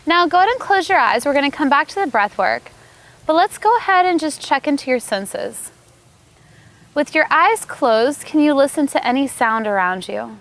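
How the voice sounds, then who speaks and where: A woman yoga teacher leading a guided meditation, giving calm, unhurried, soothing instructions. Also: high-pitched.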